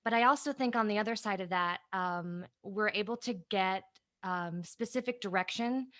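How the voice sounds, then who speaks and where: A woman with a bright, forward voice at an average pitch chats on a video-call panel. She is an actress speaking casually and conversationally. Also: normal speed.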